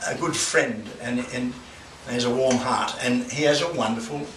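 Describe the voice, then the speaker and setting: Halting older man, echoey room. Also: medium pitch. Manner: halting, full of restarts, bawdy asides. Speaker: guest speaker opening an art exhibition. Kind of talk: rambling anecdotal speech. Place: gallery with a crowd. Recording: echoey room, far from the mic, noisy.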